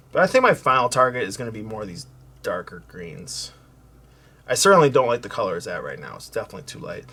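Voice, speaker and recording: Soft-spoken man talking through his coloring. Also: medium pitch, slight background noise. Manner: relaxed, thinking aloud while working, with fillers and self-corrections; soft-spoken, hoping to sound calming. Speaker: art tutorial host. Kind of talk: casual coloring tutorial narration.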